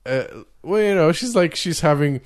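A man's voice: a little high, lively intonation, normal speed. A man, chatting casually and rambling, often restarting and chuckling as he goes. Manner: rambling, self-interrupting, chuckling. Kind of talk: casual chat.

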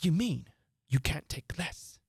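A woman voicing an excitable, mournful male hatter who rambles theatrically. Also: low-pitched, gravelly, breathy edge, no echo, clean studio sound, voice pushed up, full character voice. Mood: whimsical. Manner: theatrical, rapid swings from chatter to gloom.

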